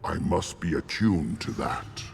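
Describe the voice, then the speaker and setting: A man voicing a solemn, zealous alien warrior shaman in a video game, gravelly and ceremonial in his grand declarations. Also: deep voice, unhurried, slight background noise, heavily acted. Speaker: clan shaman.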